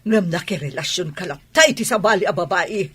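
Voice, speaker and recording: A woman with a husky voice and Filipino accent playing a fed-up, commanding wife in a radio drama. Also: on the low side, lively intonation, fairly quick, sharp tone, full character voice. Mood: indignant. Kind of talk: Ilocano radio drama acting.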